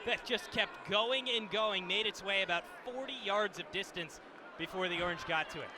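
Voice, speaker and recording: A man's voice: high-pitched, normal speed. A man giving color commentary and analysis during a live lacrosse radio broadcast. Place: live broadcast at a sports venue. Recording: noisy outdoor stadium ambience.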